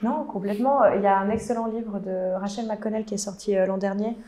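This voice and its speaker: A woman on a design panel gives her views with many pauses and restarts. Her tone is mellow and warm. Her pitch sits in the middle. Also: steady tone. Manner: hesitant, many fillers and restarts, opinionated. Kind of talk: casual panel discussion.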